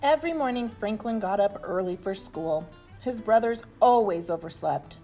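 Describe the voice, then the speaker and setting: A woman reads a children's storybook in an expressive, deliberate storytelling manner. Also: medium pitch, soft background music. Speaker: storybook reader. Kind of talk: animated children's story narration.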